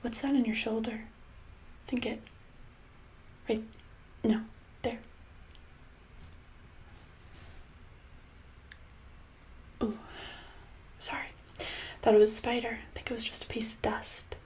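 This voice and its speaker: A woman playing a controlling, passive-aggressive boss in a soft-spoken ASMR roleplay, breathy and slipping into whispers, condescending and casually menacing. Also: on the high side, little pitch movement, normal speed, long pauses, close to an everyday voice, poor audio. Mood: distracted. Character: controlling, passive-aggressive female boss holding an employee hostage.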